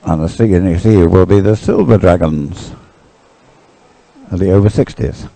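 A man giving live, unscripted sports commentary in a halting, thoughtful way. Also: deep voice, vocal fry, dark tone, dry recording, rough recording.

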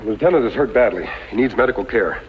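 A dulled vintage radio western recording with faint noise. A man's voice acting as a resolute, upright cowboy hero speaks quickly and with formal authority. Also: fairly low pitch, expressive, close to an everyday voice. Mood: resolute, concerned. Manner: authoritative, decisive, formal diction. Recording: dull, noisy 1950s radio recording.

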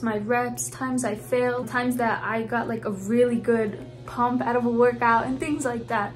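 A young woman in a dorm room chatting to her camera, upbeat and full of "like" and "you guys". Her voice sits a touch high. Soft music plays faintly under her. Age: young adult. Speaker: college student fitness vlogger. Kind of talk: casual vlog talk to camera. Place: dorm bedroom, indoors. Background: soft background music.

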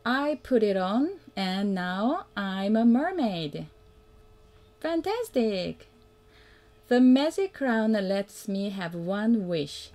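A woman reading a children's picture book aloud as a mother would, in a simple, deliberate way that invites the young listener to join in. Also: medium pitch, very animated, a little mellow. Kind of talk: read-aloud children's storytelling.

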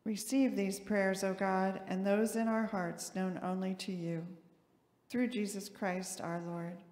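An older woman leads a church prayer in a measured, solemn way. Her voice sits at a medium pitch and rings through a large, echoey sanctuary with a long reverb. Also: level tone, darkish tone. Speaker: worship assistant leading prayers. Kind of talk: read liturgical prayer. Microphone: gooseneck lectern microphone.

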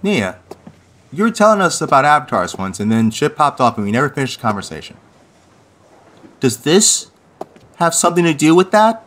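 A man's voice: on the high side, big pitch swings, normal speed, long pauses, a bit bright. An actor playing a curious, joking teenage boy with slangy phrasing in a fantasy audio drama.

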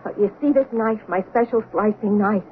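A woman's voice: mid-range voice, normal speed, natural voice. An actress playing an obsessed, solemnly sincere woman fan insisting on a murder confession in a 1970s radio drama. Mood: resolute confession. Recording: lo-fi noisy vintage radio broadcast.

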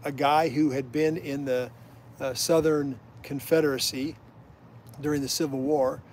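A man's voice: fairly high pitch, fairly even intonation, a little slow, a little mellow, slight background noise. A middle-aged man from Iowa, the host's father, chatting on a fishing livestream by a canal, reading viewer comments and telling rambling history stories. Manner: folksy, rambling storyteller who drifts onto side tracks. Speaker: the host's father, guest storyteller. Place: outdoors beside a canal.

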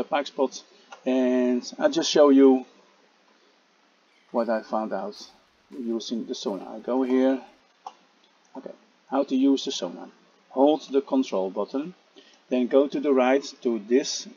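A man narrating a casual gameplay tutorial, speaking haltingly with frequent "uh" fillers and restarts. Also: mid-range voice, warm tone, dull audio, slight background noise. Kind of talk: casual game tutorial voice-over.

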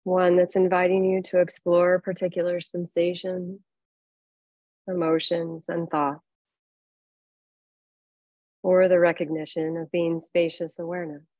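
A woman leads a guided relaxation as a meditation teacher. Her voice sits somewhat low, and she speaks in an unhurried, soothing way, leaving long pauses between phrases. The sound is very dry, free of room reflections. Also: little pitch movement, a little mellow, no room sound, muffled recording. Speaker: yoga nidra meditation teacher.

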